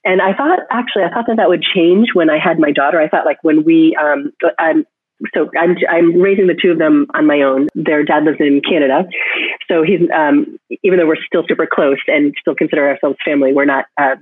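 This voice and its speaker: A woman's voice: deep voice, a little fast, no room sound. A woman photographer answering interview questions thoughtfully, often restarting her sentences as she thinks aloud. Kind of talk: reflective interview talk. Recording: tinny phone line, dry.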